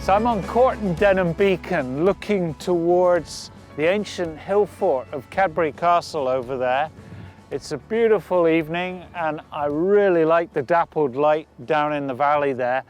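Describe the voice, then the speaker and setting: A man with a voice a little higher than average presents a practical lesson out on location. He speaks without a script, thinking aloud as he demonstrates each step. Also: a little slow, faint music behind. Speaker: photography presenter. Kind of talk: instructional talk to camera, unscripted. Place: outdoors on location.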